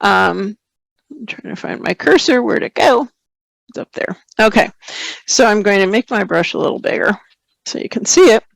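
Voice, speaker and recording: A woman hosting a software webinar, slightly hoarse, explaining steps in a relaxed way as she works and reading out viewer questions. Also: medium pitch, normal speed, long pauses, no room sound. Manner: relaxed, explains while working, reads viewer questions aloud. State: slightly hoarse, clearing throat. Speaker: photography webinar host. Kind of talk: screen-share software tutorial.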